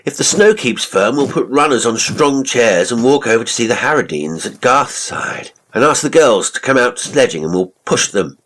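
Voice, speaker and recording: A man voicing a hearty, eager young Victorian gentleman in an audiobook, jovial and exclamatory in old-fashioned upper-class speech. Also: mid-range voice, lively intonation, moderate pace, hardly any pauses, voice pushed up, low-quality recording. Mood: hearty, excited. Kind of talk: audiobook character acting.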